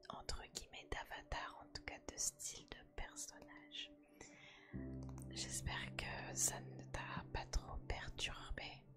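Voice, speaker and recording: A woman speaks in a slow, soft whisper, as in an intimate ASMR chat with one listener. Her phrases are short and hesitant, with pauses between them. Music plays prominently behind her voice. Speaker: ASMR creator speaking as host to viewers.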